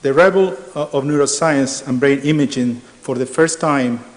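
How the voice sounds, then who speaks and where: A middle-aged man with an Argentine Spanish accent giving a measured academic conference talk, with frequent hesitations. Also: mid-range voice. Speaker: architecture professor presenting research. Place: large lecture hall. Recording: reverberant hall.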